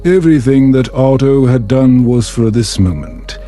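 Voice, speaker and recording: A man narrating a scripted bedtime story in a calm, soothing, measured manner. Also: mid-range voice, soft background music. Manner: soothing, measured, unhurried delivery.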